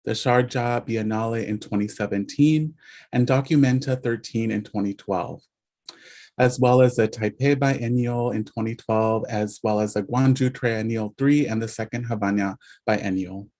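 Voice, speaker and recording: A man's voice: fairly low pitch, moderate pace, darkish tone. A man introducing the guest at an online lecture, reading through a list of exhibitions and awards formally, with an occasional filler. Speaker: introducer of the speaker.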